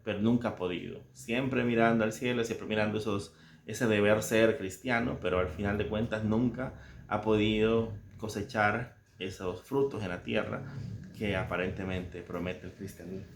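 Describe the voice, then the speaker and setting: Low-voiced Salvadoran man musing on a book. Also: fairly even intonation, normal speed, reverberant, a little hiss or hum. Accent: Salvadoran Spanish. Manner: reflective, searching for words with soft chuckles. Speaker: book reviewer. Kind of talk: casual spoken book commentary.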